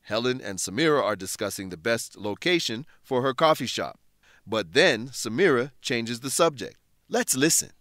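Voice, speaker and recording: Animated male English-lesson narrator. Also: mid-range voice, no room sound, clean studio sound. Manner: clear, deliberate explaining. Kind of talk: scripted educational narration.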